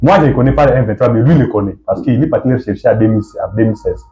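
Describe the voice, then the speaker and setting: A man from Goma with a Congolese accent, giving halting political answers in an interview, often repeating and rephrasing himself. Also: medium pitch, faint music behind. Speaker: activist guest being interviewed. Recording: dull, muffled lo-fi sound.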